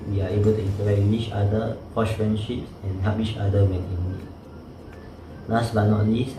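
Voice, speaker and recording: A reserved young male student reads his reflection in a low voice that barely rises or falls. The room is echoey, and music runs behind him. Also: noisy background. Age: young adult. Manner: reserved, even reading. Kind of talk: scripted reflection read aloud. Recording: roomy echo with background music.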